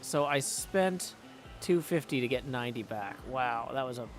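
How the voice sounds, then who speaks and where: A man's voice: mid-range voice, lively intonation, normal speed, soft background music. A man doing casual live game commentary, thinking aloud and reading game text out as he plays. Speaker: game streamer practicing voice acting.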